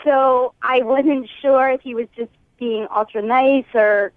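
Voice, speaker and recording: Female radio caller, high-pitched, phone-line sound, casual and slightly hesitant storyteller. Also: fairly even intonation, unhurried, no echo. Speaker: radio call-in guest. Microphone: phone call. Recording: band-limited phone line.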